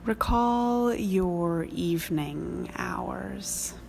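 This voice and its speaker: Very slow, creaky-voiced woman guiding meditation. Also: fairly low pitch, darkish tone, slight background noise. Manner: unhurried, measured, reflective phrasing with long pauses. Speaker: meditation guide, minister. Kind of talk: slow guided prayer meditation.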